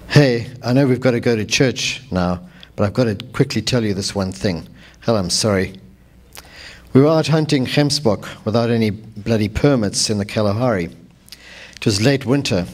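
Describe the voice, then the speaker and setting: A man with a South African accent reads from his own memoir in a fairly low voice. His delivery is literary and deliberate, with dramatic phrasing and pauses that linger. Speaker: author reading from own memoir.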